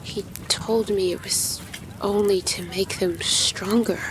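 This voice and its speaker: A woman plays a cold immortal rebel in a fantasy radio drama. She speaks slowly and defensively at a medium pitch, with clear noise behind her. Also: fairly even intonation, natural voice, poor audio. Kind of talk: fantasy audio drama acting.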